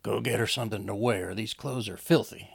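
Audiobook role: earnest married lawyer, indignant and firm, acted by a male reader, with some vocal fry. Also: fairly low pitch, fairly quick, dry recording, exaggerated voice. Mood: firm, defensive.

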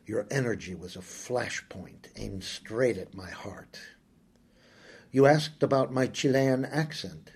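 A man reading a first-person story aloud, slow, brooding and intimate. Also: lowish voice, warm tone, husky. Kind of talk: scripted first-person audiobook narration.

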